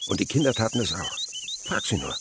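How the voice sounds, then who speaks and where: Radio play father: dreamy naturalist, dramatic storyteller, very animated, creaky, over cricket noise. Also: lowish voice, fairly quick. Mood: earnest, awed. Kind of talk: German radio play acting.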